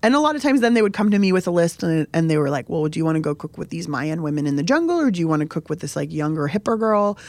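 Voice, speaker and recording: A woman's voice: on the low side, big pitch swings, rapid-fire, few pauses, darkish tone, no echo. A young adult woman from San Diego, a cook and show host, talking casually in an interview, chatty and often laughing.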